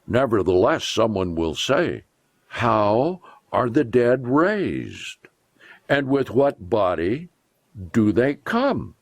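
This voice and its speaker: A man reading scripture aloud as a preacher, in a solemn, deliberate and emphatic declamatory way. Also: mid-range voice, sing-song, no room sound.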